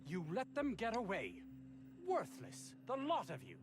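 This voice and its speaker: A man's voice: high voice, huge pitch swings, unhurried, music in the background, full character voice. A man playing an arrogant, aggrieved research doctor in a video game, speaking in a formal, theatrical and self-important way. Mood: scornful, exasperated. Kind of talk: video game character dialogue.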